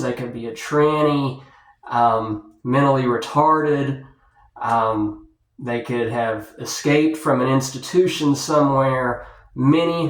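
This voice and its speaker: Slow, halting man in an echoey room. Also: medium pitch. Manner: halting, repetitive, lecturing. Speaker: video blogger giving dating advice. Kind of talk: unscripted monologue to viewers. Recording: roomy, echoing sound.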